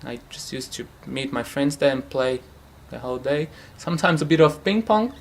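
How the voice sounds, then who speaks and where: A young Brazilian man whose first language is Portuguese speaks in English with a Brazilian accent at a mid pitch. He answers casually, pausing on fillers and searching for words, with faint noise in the room behind him. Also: vocal fry. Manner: relaxed, hesitant, frequent fillers and light laughs. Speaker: futsal player. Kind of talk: casual interview. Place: home.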